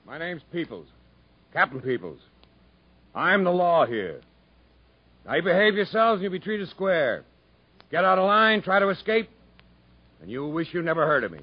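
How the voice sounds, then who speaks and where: An actor playing a stern, blunt prison camp captain in a 1950s radio drama, commanding and clipped. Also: male voice, a little high, very animated, moderate pace, pauses often, breathy edge. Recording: dull 1950s radio broadcast recording.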